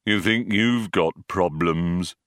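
A morose male robot , acted by a man, speaks in a slow, doleful drone. It is a comic science-fiction character, weary of existence, recorded very dry. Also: low-pitched, a bit bright, dry recording. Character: gloomy, manically depressed male robot.

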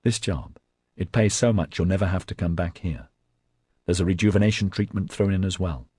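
Here is a man reading as a hard, confident woman in an audiobook. She talks low and curt, with a husky edge and cool, predatory control. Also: fairly even intonation, fairly quick, darkish tone, dry recording, studio quality. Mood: brisk, dry.

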